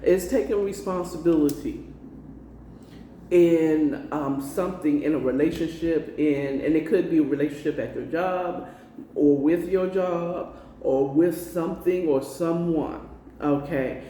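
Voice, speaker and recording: American woman, unhurried, mellow dark tone, tarot reader, emphatic and repetitive, echoey distant mic. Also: sits low. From United States. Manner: emphatic, repetitive coaxing with frequent check-in tags. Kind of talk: casual spiritual card reading. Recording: roomy, distant mic with faint noise.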